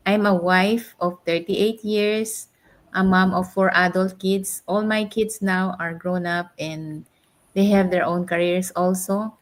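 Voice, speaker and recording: A woman's voice: fairly low pitch, fairly even intonation, a little slow. A woman in her sixties with a Filipino accent, introducing herself as an interview guest. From Philippines. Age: 60s. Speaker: interview guest, nurse and business mentor.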